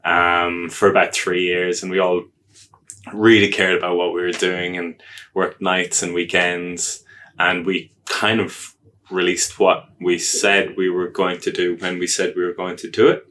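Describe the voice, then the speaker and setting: A man with an Irish accent, a guest on a podcast, chatting casually in a relaxed, self-deprecating way. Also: deep voice, measured pace, a little hiss or hum. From Ireland. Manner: relaxed, self-deprecating, hesitant with many ums. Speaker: podcast guest, agile coach.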